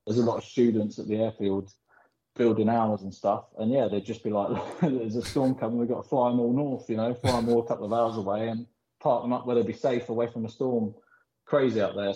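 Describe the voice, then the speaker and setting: A man's voice: medium pitch, fairly quick. A man, a pool player born in the Philippines, chatting as a podcast guest in rambling, filler-heavy anecdotes. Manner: rambling, animated storytelling with frequent fillers. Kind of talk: casual podcast interview. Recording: echoey, dull lo-fi recording.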